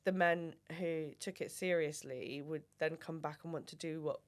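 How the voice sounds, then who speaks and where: A woman's voice: low-pitched, steady tone, moderate pace. A woman, a guest on a casual podcast chat, explaining at length in a thoughtful way with many fillers. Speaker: guest, family member.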